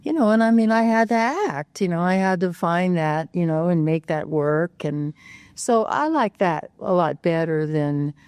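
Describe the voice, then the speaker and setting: An older woman speaks in a low register, with a close and dry sound. She rambles easily through her stories. She leans on frequent fillers and self-corrections. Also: measured pace, darkish tone, clear, resonant tone, dry recording. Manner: rambling, many "you know" fillers, restarts. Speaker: actress, guest. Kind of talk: casual interview chat.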